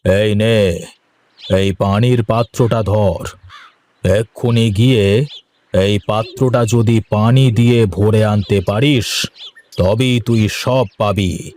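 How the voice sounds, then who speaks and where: A man voicing a stern, mocking and mysterious old forest hermit sage in a cartoon, with scornful, drawn-out speech. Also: lowish voice, fairly even intonation, a little mellow, slight background noise. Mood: commanding, mysterious. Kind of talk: cartoon voice acting.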